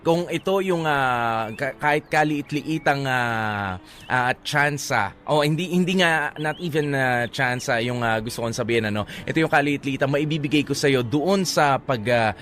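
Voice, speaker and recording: A Filipino man hosting a radio show, giving relationship advice in a casual, teasing, halting way and mixing Tagalog with English. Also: medium pitch, fairly bright tone. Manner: hesitant, teasing, switches between Tagalog and English. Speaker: radio host. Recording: clear background noise and ambience.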